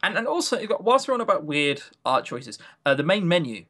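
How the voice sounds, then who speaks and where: A man's voice: high voice, very animated, a little fast, bright, forceful tone. A British man co-hosting a podcast, chatting casually in a rambling, opinionated, self-mocking way about what he has played. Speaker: podcast co-host. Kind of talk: casual chat about visual novels.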